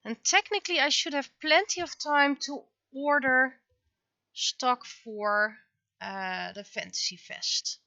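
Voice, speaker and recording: A woman chatting casually on a livestream as she works, thinking aloud in a halting way and talking half to herself. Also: high voice, vocal fry, sharp tone, dry recording, dull audio. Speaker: livestreaming webcomic artist. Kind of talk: casual livestream chat while working.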